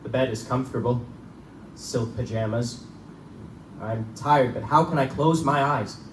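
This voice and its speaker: A man's voice: mid-range voice, a little slow, natural voice. A man playing an uneasy but defiant hunter who has become the prey, in a live theatrical horror drama. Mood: weary dread. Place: live performance in a bookstore. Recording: distant, echoey live room recording with noise.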